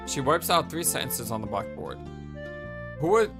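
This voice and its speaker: A man's voice: medium pitch, sing-song, fairly quick, gravelly, over music. A man reading visual novel narration as a let's play host, with casual commentary and the odd stumble.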